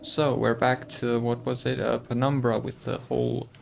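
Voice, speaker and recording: A man streams a game into a desk microphone, thinking aloud and chatting with his viewers. His voice is mid-pitched with a gravelly, fry-laden edge. A faint hiss sits under it. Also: level tone, moderate pace. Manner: chatty, thinks aloud and reads notes to chat. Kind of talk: casual gameplay commentary.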